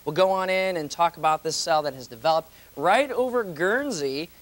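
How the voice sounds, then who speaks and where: A man's voice: fairly high pitch, animated, normal speed, fairly bright tone, rough recording. A man presenting a live TV weather forecast as a meteorologist, in a conversational, ad-libbed way.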